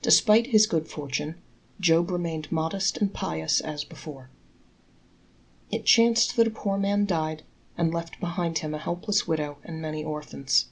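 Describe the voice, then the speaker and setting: A woman's voice: low voice, unhurried, vocal fry, rough recording. A woman storyteller reading scripted folktales aloud as narration.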